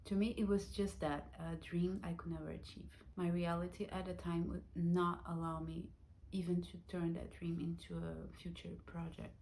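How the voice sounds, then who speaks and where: A woman in her thirties from Brazil, telling her own life story in a reflective, soft-spoken vlog, with Portuguese as her first language. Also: fairly low pitch, little pitch movement, normal speed, a little hiss or hum, low-quality recording. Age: 30s. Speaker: vlogger.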